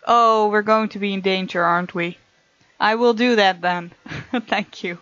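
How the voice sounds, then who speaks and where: A woman hosting a let's play, reading game text aloud with playful, expressive asides. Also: mid-range voice, measured pace, no room sound, highs cut off. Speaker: gaming video host.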